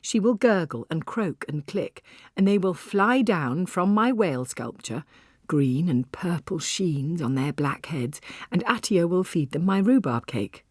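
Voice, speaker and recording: Audiobook lead: a wry, weary ex-police cafe owner, low-pitched and breathy, conversational first-person storytelling in a dry, close recording. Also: female voice, a little slow, no echo. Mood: wry, reflective. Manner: expressive, conversational, storytelling with hushed asides. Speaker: audiobook narrator.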